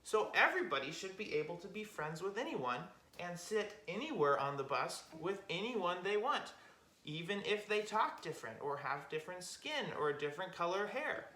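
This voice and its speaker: A woman's voice: fairly low pitch, very animated, moderate pace, pushed and bright. A woman hosting storytime for young children, explaining things simply and directly as she talks and tells stories. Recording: roomy echo, music behind.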